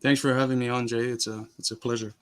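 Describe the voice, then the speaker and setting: A man's voice: mid-range voice, level tone, fast talker. A young man, born in Cuba and raised in Miami, talking earnestly as a guest in a casual interview, with frequent restarts. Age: young adult.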